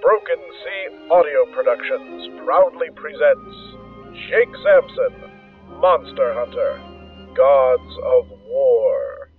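A man's voice: medium pitch, slow pace, soft background music. A man delivering a scripted trailer announcement as a promo announcer. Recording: thin radio-like, narrow band.

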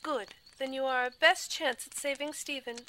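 A woman's voice: high-pitched, fairly even intonation, moderate pace, bright, forceful tone, slight background noise. A woman playing a worried, earnest young noblewoman in an audio drama, speaking anxiously.

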